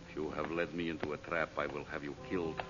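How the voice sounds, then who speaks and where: An actor playing an imperious, ruthless villain scientist bent on ruling the world, commanding and menacing in radio melodrama style. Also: male voice, a bass voice, flat delivery, fast talker. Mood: threatening. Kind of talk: radio serial melodrama acting. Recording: muffled, hissy old radio recording.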